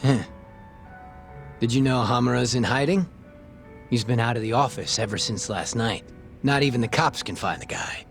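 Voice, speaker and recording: Male actor as shameless informant cop, game drama. Mid pitch, glib, offhand, music behind. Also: moderate pace, pauses often, natural voice. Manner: casual, glib. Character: sly, shameless crooked cop selling information. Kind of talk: video game cutscene acting.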